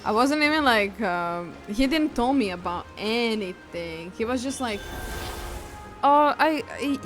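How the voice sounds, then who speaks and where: A woman's voice: on the high side, lively intonation, unhurried. A woman livestreamer chatting casually while she plays a video game. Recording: game music under the voice. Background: video game music.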